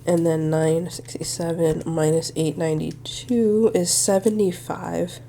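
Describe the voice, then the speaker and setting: A woman's voice: fairly low pitch, fairly even intonation, a little slow, creaky, dark tone, slight background noise. A young woman hosting a budgeting vlog, sounding a little nasal from congestion, talking through her numbers in a friendly, think-aloud way. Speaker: budgeting channel host. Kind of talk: casual budgeting walkthrough to camera.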